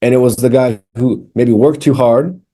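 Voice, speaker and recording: Rambling mellow-voiced man in his thirties. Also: medium pitch, steady tone, moderate pace. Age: 30s. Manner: rambling, frequent restarts and fillers, candid. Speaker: music producer, guest. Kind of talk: casual interview chat.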